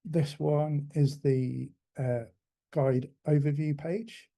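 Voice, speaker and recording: A man speaking at a tech conference, his voice very dark and mellow. He walks through a demo in a measured way, filling pauses with ums and restarting his phrases. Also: fairly high pitch, fairly even intonation, creaky. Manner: hesitant, many ums and restarts.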